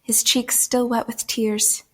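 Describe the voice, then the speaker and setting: Proper wary young lady from a period audio drama, voice on the higher side, careful refined way of talking. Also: flat delivery. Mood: hushed, uneasy narration. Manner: refined, measured, old-fashioned phrasing. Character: wary, proper young woman in a borrowed body, narrating. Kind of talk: first-person period audio drama narration and dialogue.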